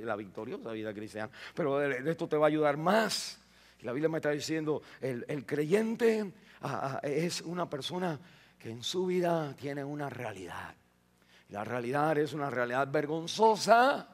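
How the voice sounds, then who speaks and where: High-voiced pastor preaching with rising bursts. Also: male voice, a bit bright. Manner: preaching with rising bursts of emphasis, frequent repetitions and restarts. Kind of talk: sermon. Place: church sanctuary.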